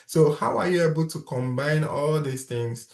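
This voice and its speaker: A man's voice: fairly high pitch, normal speed, creaky, darkish tone. A man hosting a casual interview, asking long, rambling questions and often checking whether he is understood. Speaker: interview host. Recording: echoey room.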